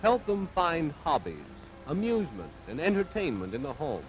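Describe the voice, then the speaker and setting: A man's voice: on the high side, very animated, normal speed, faint music behind. An American man delivering scripted 1940s propaganda film narration in an earnest, authoritative, moralizing manner. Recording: dull vintage 1940s film soundtrack.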